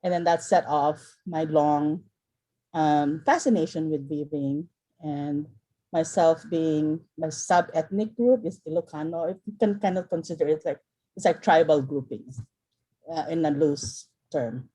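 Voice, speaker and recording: A middle-aged woman talks in a low, mellow voice over a video call. She rambles warmly through her story with frequent ums, uhs and restarts, and often laughs mid-sentence. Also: a little slow, low-quality recording. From Philippines. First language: Filipino. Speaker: workshop participant. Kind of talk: casual introduction in a group video call.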